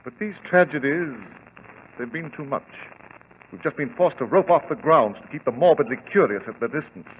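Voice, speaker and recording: An actor playing a flustered, anxious lord in a 1940s radio drama, formal and agitated. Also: male voice, fairly high pitch, animated, normal speed, a little hiss or hum, close to an everyday voice. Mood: grave, uneasy. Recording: tinny old radio recording, music behind.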